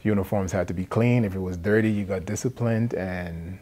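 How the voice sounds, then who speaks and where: A middle-aged man from Liberia gives a personal testimony in a halting, plain-spoken way, often adding "you know". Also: low-pitched, warm tone. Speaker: addiction recovery centre support worker. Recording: noticeable background noise.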